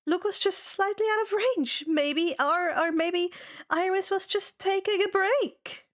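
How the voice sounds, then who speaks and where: A woman's voice: very high voice, measured pace, pitched above the performer's own voice. A woman voicing a distraught, loyal young girl in a story, stammering and pleading. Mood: shaken denial.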